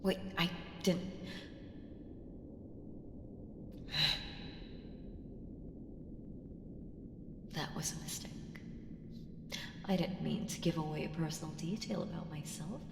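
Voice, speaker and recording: A woman voicing an ancient, haughty evil entity in a slow ASMR audio roleplay, deliberate and teasing, slipping into breathy whispers. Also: sits low, steady tone. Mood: guarded, rueful. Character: ancient evil female entity, powerful, haughty, coolly menacing patron. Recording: echoing long hall reverb, ambient hiss.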